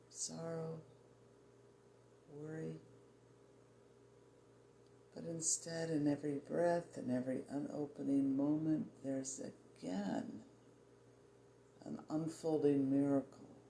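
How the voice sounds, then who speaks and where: Guided meditation voice, androgynous: soft-edged and mellow, measured and soothing, roomy echo with faint noise. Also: medium pitch, vocal fry. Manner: soothing, measured, with long pauses between short phrases. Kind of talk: guided meditation, spiritual affirmations.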